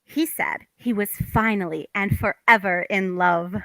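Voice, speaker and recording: A woman acting a naive, earnest young housewife longing for romance, girlish and excitable in a comic table read. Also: medium pitch, a little slow, vocal fry, no room sound. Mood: dreamy, earnest.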